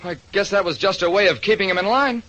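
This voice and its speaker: A man's voice: high-pitched, fast, sharp tone, dull audio, pitched above the performer's own voice. A man voicing a disgruntled young heir of a wealthy family in a 1940s radio drama. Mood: sour, resigned.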